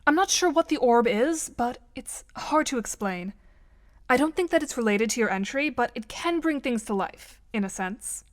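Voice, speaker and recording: A woman's voice: on the high side, expressive, normal speed, natural voice. A woman playing a cool, articulate teenage girl in an audio drama, precise and composed as she gives instructions. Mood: thoughtful.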